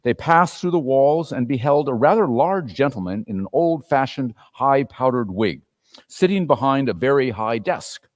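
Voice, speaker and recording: A man narrating a story as a dramatic reading, in an expressive, theatrical manner. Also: medium pitch, normal speed. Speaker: narrator and performer.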